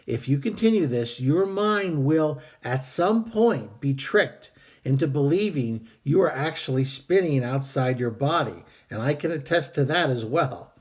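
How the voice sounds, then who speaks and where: A man hosting a video, calmly reading out and explaining a meditation technique with some hesitant fillers, while fighting a cold that is costing him his voice. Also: a little high. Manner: calm, measured, with hesitant fillers. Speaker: host.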